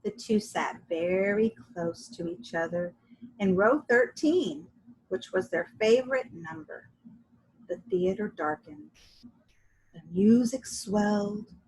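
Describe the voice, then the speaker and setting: A woman reading a children's story aloud in an expressive, dramatic and deliberate way, with a husky voice. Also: medium pitch, slight background noise, low-quality recording. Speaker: storybook reader.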